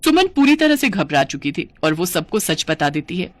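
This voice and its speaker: A woman's voice: lowish voice, sing-song, normal speed, dry recording. Woman narrating a story, dry recording. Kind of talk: scripted moral-story narration.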